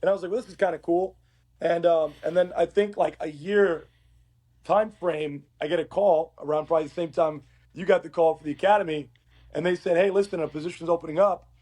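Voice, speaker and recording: A man with a fairly high, raspy voice, hoarse from work, speaks as a police academy instructor on a podcast. He tells stories in a casual, conversational way. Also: fairly even intonation, brisk, a little mellow. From Uruguay.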